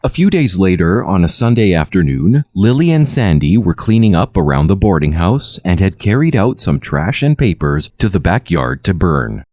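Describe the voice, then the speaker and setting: Casting: male horror storyteller, low register with animated melody, measured scripted read, very dry recording. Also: no echo. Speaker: storyteller, narrator.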